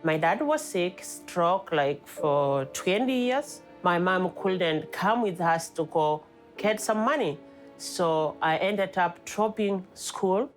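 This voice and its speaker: Woman, Kenyan accent, slow, lowish pitch, plain words, interview. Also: animated, soft background music. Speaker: trafficking survivor. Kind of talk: interview testimony.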